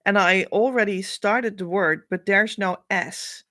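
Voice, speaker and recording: Slow, musing Dutch-accented woman. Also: medium pitch, fairly bright tone, dry recording, studio quality. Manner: thinking aloud, musing, hesitant. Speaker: spiritual coach. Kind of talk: casual spiritual reading.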